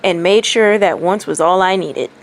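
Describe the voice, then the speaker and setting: A woman's voice: fairly low pitch, close to an everyday voice, rough recording. Casting: cheeky young ninja boy read by a woman, smug, blunt, matter-of-fact, storybook reading, faint background noise. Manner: matter-of-fact, deliberately obvious. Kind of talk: storybook reading of fan fiction. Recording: clearly audible background noise.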